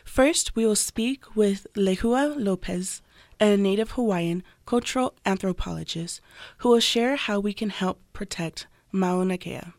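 A woman's voice: mid-range voice, unhurried, a little mellow, dry recording, studio quality. A woman co-hosting a radio program, reading scripted introductions and narration. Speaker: radio show co-host.

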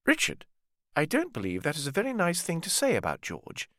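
A male narrator puts on a soft-spoken older matriarch in an audiobook family saga. The pitch is raised well up, with a lively lilt. She sounds gentle and conciliatory, in a dry recording. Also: fairly quick, dry recording. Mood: gently reproving. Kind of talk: audiobook character dialogue.

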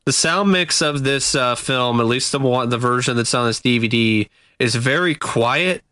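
Bright-voiced man critiquing a film, dry sound. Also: mid-range voice, dry recording. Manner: measured, critical, searching for words. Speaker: film podcast co-host. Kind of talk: casual film review chat.

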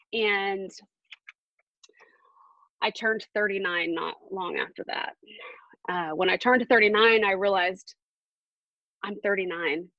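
An earnest woman around forty shares a personal story as a podcast guest, pausing often with fillers. Her voice sits at an ordinary pitch. The recording is dry and a little dull. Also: dry recording. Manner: earnest, halting, with many fillers.

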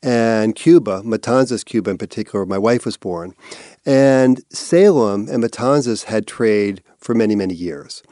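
Low-voiced man, thoughtful interview answers. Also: moderate pace, dark tone, no echo, clean studio sound. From Massachusetts. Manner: thoughtful, searching with repeated words and fillers. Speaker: artistic director of an arts institute.

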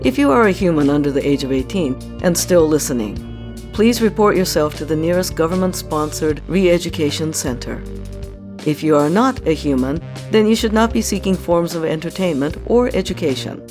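An actress playing an officious dystopian announcer, reading a formal, deadpan scripted disclaimer for an audio drama. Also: female voice, deep voice, moderate pace, music in the background. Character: officious dystopian announcer addressing humans and machines.

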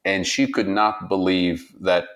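A man speaks low and unhurried, weighing his words as he looks back on his life. He stops and restarts often, with quiet laughter woven through his story. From Tunisia. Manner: reflective, halting, laughs often. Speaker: interviewee. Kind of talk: personal storytelling interview.

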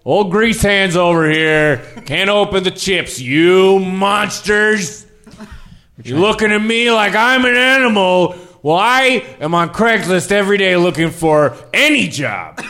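A man playing a touchy, jobless slacker test subject in improvised radio theater, excitable and defensive. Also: high voice, exaggerated voice.

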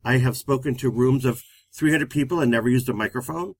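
A man with a loud voice who talks fast, co-hosting a live-streamed relationship talk show. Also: medium pitch, breathy edge, no room sound. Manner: fast-talking, energetic.

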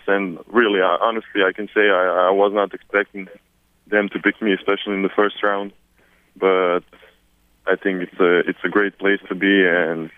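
A young man from Serbia with a Serbian accent, answering reporters on a conference call, halting and repeating words with many fillers. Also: fairly low pitch, no echo. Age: 20s. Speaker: newly drafted basketball player. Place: at home, far from the press. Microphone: telephone. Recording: tinny dry phone line.

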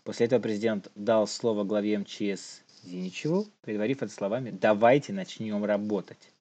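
A man's voice: on the low side, steady tone, a little fast, darkish tone. A man co-hosting a podcast, telling stories casually and reading out news items, on slightly muffled audio. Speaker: podcast co-host.

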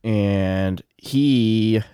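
A man's voice: fairly low pitch, very slow, warm tone, clear, resonant tone, dry recording, studio quality. A man co-hosting a podcast, rambling casually with many fillers and self-corrections, a bit sniffly. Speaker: podcast co-host. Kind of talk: casual chat.

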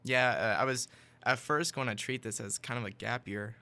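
A man of twenty-two from Tennessee, a student guest in an interview, answering plainly with a few halting fillers. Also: medium pitch, fairly even intonation, vocal fry, bright, forceful tone. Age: 20s. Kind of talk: casual interview.

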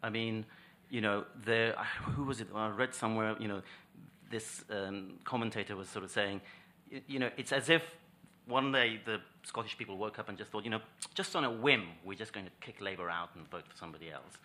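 A man's voice: on the low side, fairly quick, sharp tone. A man in a panel discussion, speaking thoughtfully with hedges and restarts.

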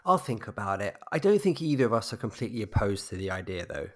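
A man's voice: fairly low pitch, sing-song, quick pace. Man as hesitant, weary grieving husband. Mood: polite, guarded. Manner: hesitant, polite. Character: weary, anxious, politely evasive bereaved husband. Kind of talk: audio drama acting.

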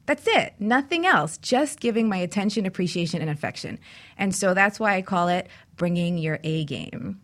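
A woman hosting a radio talk show in a conversational style, explaining things openly. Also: fairly low pitch.

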